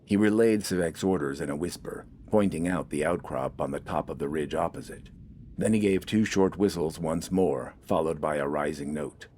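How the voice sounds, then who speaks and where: A man's voice: rumbling low, moderate pace, darkish tone, slight background noise. A man reading scripted fiction as an audiobook narrator.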